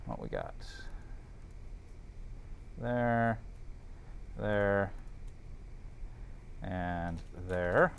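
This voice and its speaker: A man's voice: on the low side, gravelly, a little hiss or hum. A man teaching an informal class, thinking aloud as they work through calculations and checking in with students.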